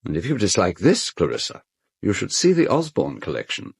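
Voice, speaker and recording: A man's voice: low voice, huge pitch swings, brisk, vocal fry, husky, dry recording, clean studio sound, exaggerated voice. A man acting in a radio drama as a suave collector fondly showing off morbid relics, with urbane, hesitant asides. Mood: urbane relish.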